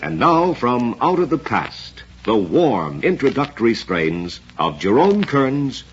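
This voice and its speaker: Theatrical American radio host, dramatically swooping delivery. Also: male voice, mid-range voice, very animated, slow, slight background noise. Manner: theatrical, formal introductions. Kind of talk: scripted old-time radio theater announcing. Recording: dull lo-fi vintage radio, crowd murmur.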